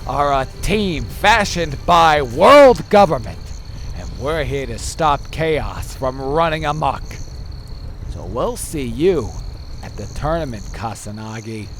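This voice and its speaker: A man playing a smug, menacing leader of a rival fighting clan in a visual novel, taunting and deliberate. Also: a little high, fairly bright tone, exaggerated voice. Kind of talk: scripted visual novel voice acting. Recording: music behind the voice.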